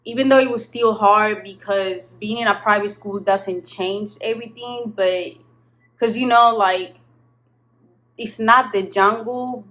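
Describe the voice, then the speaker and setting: A woman's voice: mid-range voice, a bit bright, rough recording. A young woman from southern Spain, a native Spanish speaker, telling a personal story in frank, slangy, casual English with some swearing, recorded in an apartment. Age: young adult. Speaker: vlogger. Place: apartment kitchen-living room.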